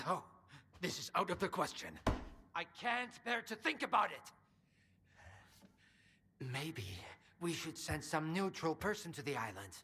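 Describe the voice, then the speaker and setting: An actor playing an earnest, grandiose scientist in a video game, speaking in lofty, pleading phrases. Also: male voice, high-pitched, bright, forceful tone, slightly rough, in a large hall, heavily acted. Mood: anxious, pleading. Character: grandiose, anxious male scientist, visionary head of an institute.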